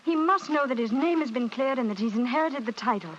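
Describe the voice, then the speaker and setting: A woman's voice: sits high, expressive, fast. A woman playing an earnest, pleading young lady in a 1940s radio drama, polite and urgent. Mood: earnest, anxious. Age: young adult. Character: earnest, pleading young Englishwoman devoted to missing fiancé. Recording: muffled, noisy vintage radio recording.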